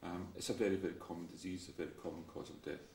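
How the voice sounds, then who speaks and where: A man giving an interview statement as a researcher, explaining points clearly one by one. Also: sits low, fairly even intonation, racing, darkish tone. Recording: roomy, distant, faint noise.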